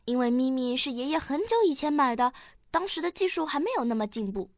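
Radio drama granddaughter, played by a woman: quick-witted child, lively high voice, close dry sound. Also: no room sound. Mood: puzzled. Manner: eager, questioning, childlike.

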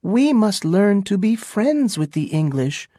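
A man voicing an earnest, devoted young woman who speaks softly and pleads. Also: sits high, very animated, measured pace, no echo, clean studio sound, pitched above the performer's own voice, heavily acted. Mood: pleading.

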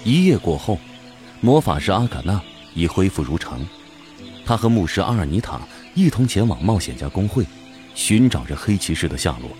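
A man's voice: low voice, sing-song, normal speed, darkish tone, soft background music. A man playing a solemn storyteller, giving scripted dramatic narration for a fantasy audio drama. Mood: storytelling.

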